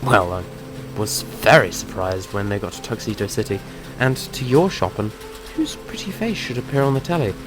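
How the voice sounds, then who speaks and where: An actor playing a brash, teasing monster hunter and comic book nerd in an audio drama. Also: male voice, fairly low pitch, animated, normal speed, over music, natural voice. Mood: storytelling, smug.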